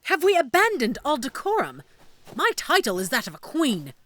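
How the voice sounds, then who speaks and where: Woman playing a shrill-edged, haughty, high-voiced villain queen. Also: huge pitch swings, normal speed, fairly bright tone, heavily acted. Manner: haughty, indignant, imperious. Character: haughty, treasonous older queen and mother. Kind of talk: fantasy audio roleplay acting.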